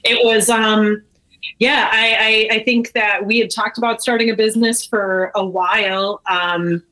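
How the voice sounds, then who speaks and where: A woman's voice: medium pitch, fairly even intonation, unhurried, sharp tone, low-quality recording. A woman, a small business owner, chatting casually as a guest in an interview. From Chicago area, USA. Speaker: brewery co-owner, podcast guest.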